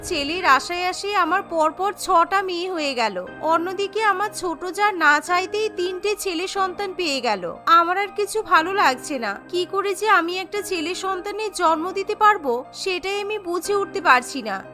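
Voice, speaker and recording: A woman's voice: very high voice, animated, normal speed, few pauses, bright, forceful tone, soft background music, exaggerated voice. A woman voicing a desperate mother in a cartoon, with a pleading, lamenting, dramatic delivery. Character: desperate tearful mother longing for a son. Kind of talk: melodramatic cartoon voice acting.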